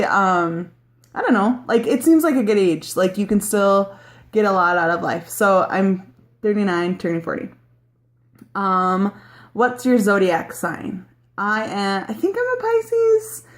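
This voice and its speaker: Late-thirties woman, casual vlogger, rambly and easygoing. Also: medium pitch. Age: 30s. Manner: chatty, rambling, many ums and likes, laughs easily. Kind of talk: casual chat answering a tag video.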